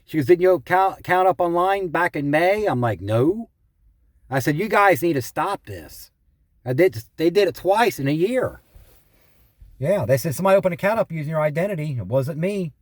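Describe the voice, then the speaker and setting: Male caller, slightly high-pitched and dry-sounding, complaining conversationally and retelling exchanges with some exasperation. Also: lively intonation, normal speed, no room sound. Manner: repeats himself, quotes past exchanges, exasperated complaining. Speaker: caller reporting identity theft and scam calls. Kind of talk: casual phone conversation, telling anecdotes.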